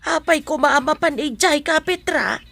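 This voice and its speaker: A woman's voice: high-pitched, normal speed, gravelly, a bit bright, dry recording. A woman with a Filipino accent playing an indignant, protective mother in a radio drama. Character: indignant mother defending her child. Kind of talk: Ilocano radio drama acting.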